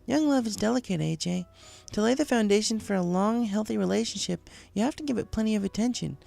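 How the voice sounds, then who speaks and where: A performer voicing a calm, caring female pony who advises a friend in a story. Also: mid-range voice, fast, gravelly, faint music behind, voice pushed up. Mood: advising.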